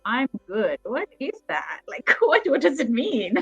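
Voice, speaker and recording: Woman host, fairly high voice, lively and chatty, lo-fi dry sound. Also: gravelly, slightly rough, dry recording, soft background music. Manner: animated, quick to laugh and chime in. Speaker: panel host. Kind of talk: lively casual panel talk.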